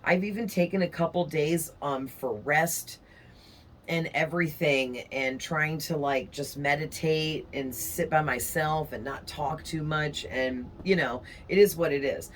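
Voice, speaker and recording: A woman tarot reader talking casually to her viewers, her voice raspy and hoarse from strain, rambling along with frequent "okay?" tags. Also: low voice, a little slow, slight background noise. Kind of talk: casual chatty monologue to viewers.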